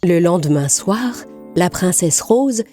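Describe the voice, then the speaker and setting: A woman tells a scripted fairy tale for children. Her voice is fairly low and mellow, her storytelling clearly articulated, with faint music behind her. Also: expressive, takes it slowly. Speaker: narrator.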